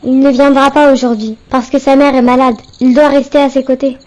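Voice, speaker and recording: A woman voicing a high, friendly cartoon boy. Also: little pitch movement, a little fast, darkish tone, lightly acted, rough recording.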